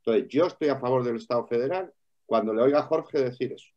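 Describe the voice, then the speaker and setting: A man's voice: mid-range voice, fast talker, dark tone. A man in a heated debate, arguing hard, repeating his points and talking over the others. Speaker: debate participant. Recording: dull lo-fi recording.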